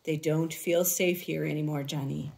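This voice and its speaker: A woman voicing a gentle, grave storybook father who explains things to his daughter in a hesitant, measured, tender way. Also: deep voice, fairly even intonation, gravelly, a little hiss or hum, pitched below the performer's own voice. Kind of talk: storybook character read-aloud.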